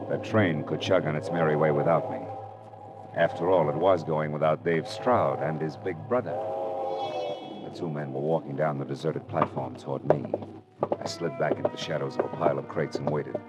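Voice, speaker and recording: A man's voice: extremely low pitch, steady tone, normal speed. A male actor playing a tough, impatient, wisecracking private detective with an American accent, in 1940s radio style. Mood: tense, hardboiled. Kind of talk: 1940s radio acting, hardboiled narration. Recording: vintage radio drama recording with music.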